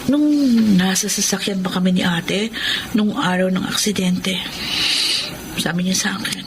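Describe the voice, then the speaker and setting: A woman's voice: mid-range voice, steady tone, measured pace, noisy background, natural voice. An actress playing a caring, earnest young aunt who mothers a baby, in a Filipino radio drama. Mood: sad realization. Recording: radio drama with loud background ambience.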